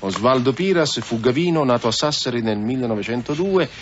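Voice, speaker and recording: A man's voice: mid-range voice, expressive, a little fast, natural voice. Man playing a hesitant police subordinate, dull recording. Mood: dutiful. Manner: stammering, bureaucratic when reading aloud. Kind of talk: Italian radio drama acting. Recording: dull, noisy radio drama recording.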